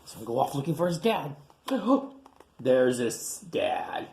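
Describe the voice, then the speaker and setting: A man who teaches young children, reading a picture book aloud in a playful, animated way with gasps and chatty asides. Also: medium pitch, slow. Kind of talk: storybook read-aloud for children.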